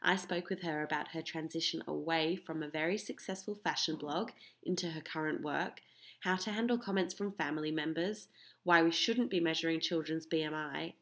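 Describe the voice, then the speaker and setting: Bright-voiced woman podcast host. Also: sits low, moderate pace, vocal fry. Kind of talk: podcast interview.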